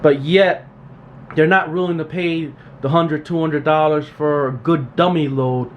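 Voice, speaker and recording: A man's voice: a little high, measured pace, dull audio. A man giving an informal, unscripted tutorial as a repair technician, plain-spoken and repetitive, with emphatic practical advice. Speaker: radio repair technician hosting a tool tutorial. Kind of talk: informal unscripted instructional talk. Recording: steady background noise, room ambience.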